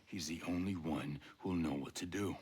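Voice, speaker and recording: A man plays a determined soldier hero in a game cutscene. His voice is low, terse and serious, with faint noise behind it. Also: moderate pace, darkish tone, close to an everyday voice. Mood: stern.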